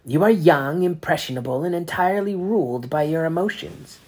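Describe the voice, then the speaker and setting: A parent reading aloud voices a cold, sneering male grand inquisitor with a raised, forceful delivery. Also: mid-range voice, moderate pace, breathy edge, pitched above the performer's own voice. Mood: scornful. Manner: clipped, sarcastic, accusing.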